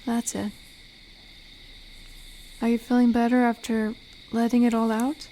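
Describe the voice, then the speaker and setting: A fairly high female voice, gentle and teasing, plays a tender werewolf who protects a forest acted by a woman. She talks softly and closely to the listener in a roleplay audio drama. Faint cricket ambience sits behind her. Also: level tone, unhurried, slight background noise, lightly acted, rough recording. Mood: tender, comforting. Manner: gentle, teasing, soft-spoken.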